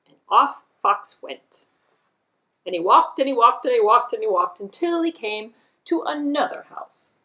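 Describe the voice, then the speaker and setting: A woman puppeteer telling a children's story in an animated, deliberate way, with repetition and conversational asides. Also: a little high, dull audio.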